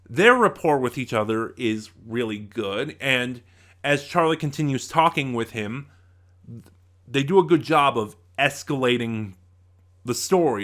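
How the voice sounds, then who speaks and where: A man with a middling pitch talks to viewers as a reviewer. His delivery is casual and unscripted. He halts now and then, trails off and circles back to finish a thought. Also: a bit bright. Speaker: reviewer, host of audio drama reviews.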